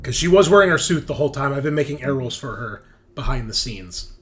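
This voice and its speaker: A man runs a tabletop roleplaying game as game master over music. His improvised narration is emphatic and dramatic, dropping into casual talk about rules. His pitch sits at an average male level. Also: animated, fast talker, a bit bright.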